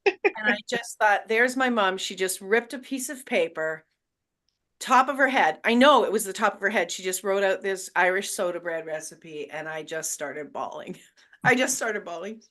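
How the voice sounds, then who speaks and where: A woman's voice: medium pitch, moderate pace, a bit bright. A woman hosting a book launch on a video call, talking candidly and emotionally with hesitant fillers. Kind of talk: casual group chat.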